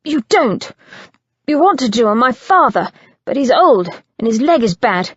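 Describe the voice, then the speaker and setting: A woman's voice: high-pitched, sing-song, moderate pace, no echo, muffled recording, voice pushed up. A woman voicing a furious, threatening young man. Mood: vehement. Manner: shouting, threatening. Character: young man, vengeful, menacing, shouting.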